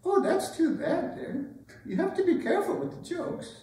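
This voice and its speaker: An older woman playing a gentle, wistful retired schoolteacher in a dramatic stage reading, musing and hesitant. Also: very high-pitched, expressive, normal speed. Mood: warm, amused. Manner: hesitant, musing, tender, asking questions. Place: indoor arts center stage. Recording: roomy, distant stage recording.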